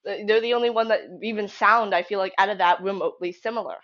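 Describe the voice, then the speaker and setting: A woman's voice: mid-range voice, fairly even intonation, fast, fairly bright tone. A young woman giving casual fan commentary, conversational and emphatic, thinking aloud as she goes. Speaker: fan theory video creator. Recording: slightly dull lo-fi home recording.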